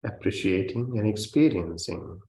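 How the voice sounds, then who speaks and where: A man who is a Buddhist meditation teacher, guiding a meditation in a gentle, measured way with long pauses between phrases. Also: fairly low pitch. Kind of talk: guided meditation. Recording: roomy, reverberant sound.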